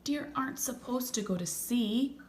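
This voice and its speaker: A woman's voice: fairly high pitch, normal speed, pitched above the performer's own voice, close to an everyday voice. A woman playing a female deer ship captain in a storybook, genteel and earnest. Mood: regretful. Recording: roomy echo, faint background noise.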